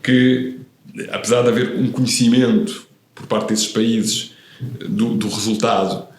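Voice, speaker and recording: A man's voice: on the low side, fairly even intonation, unhurried, reverberant. A man in his fifties, born in Italy, talking thoughtfully as a podcast guest, with frequent hesitations and restarts. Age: 50s. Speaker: guest, real estate professional. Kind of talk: relaxed interview conversation.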